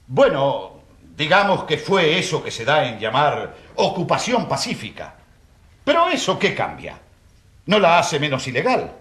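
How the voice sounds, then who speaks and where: High-pitched, pompous prosecutor. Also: male voice, huge pitch swings, unhurried, fairly bright tone, exaggerated voice. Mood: smug accusing. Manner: pompous, rhetorical. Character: theatrical male prosecutor, accusing, indignant, sarcastic. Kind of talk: radio drama courtroom oratory. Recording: roomy, echoing courtroom sound.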